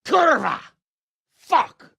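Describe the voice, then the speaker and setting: Gravelly, wildly animated hot-tempered medieval lord. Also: male voice, extremely high pitch, extremely slow, no room sound, clean studio sound, heavily acted. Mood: furious. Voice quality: raspy, gravelly. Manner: sarcastic, blustering, profane. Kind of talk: dramatic video game acting.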